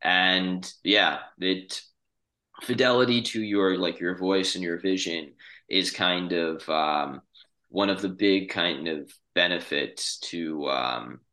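Low-voiced man with a very bright edge. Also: slow pace. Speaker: podcast host. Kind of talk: casual podcast discussion.

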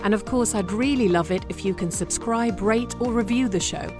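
A woman reads her podcast's closing lines in a smooth, scripted way, with clear music playing behind her voice. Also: mid-range voice, normal speed. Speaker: podcast host. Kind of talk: scripted outro.

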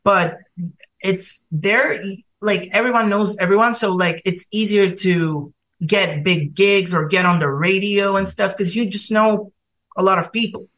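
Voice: medium pitch, a little slow, a bit bright, rough recording. A young singer from Bulgaria with a Bulgarian accent, talking casually as a podcast guest and hesitating with many fillers and restarts.

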